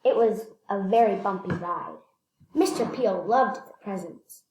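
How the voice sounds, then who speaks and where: A woman reading a children's picture book aloud, slightly halting, repeating phrases and adding casual asides. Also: mid-range voice, a little mellow. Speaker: read-aloud storyteller. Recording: echoey room.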